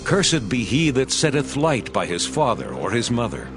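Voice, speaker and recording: Casting: male actor for stern Bible-drama priest, medium pitch, animated declamation over clear music. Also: moderate pace. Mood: stern condemnation. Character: stern Levite priest pronouncing curses. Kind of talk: dramatized King James Bible reading.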